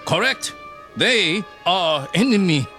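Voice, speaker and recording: An actor playing a stern, contemptuous Japanese army captain with clipped, menacing delivery in a radio drama. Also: male voice, sits high, lively intonation, slow pace, sharp tone, heavily acted. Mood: cold, stern. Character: ruthless, imperious Japanese army captain in wartime. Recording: dramatic music under the voice.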